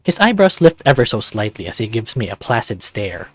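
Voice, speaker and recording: A man with a mid-pitched voice hosts a visual novel read-along. He narrates and chats in a casual way, and the sound is very dry with no room on it. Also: sing-song, fairly quick, breathy edge, no echo, soft background music. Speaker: host of a let's-read channel.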